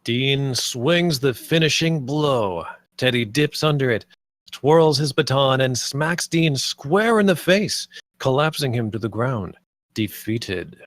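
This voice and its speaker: A man's voice: mid-range voice, animated, takes it slowly, no room sound. A man reading stage directions aloud as scripted screenplay narration.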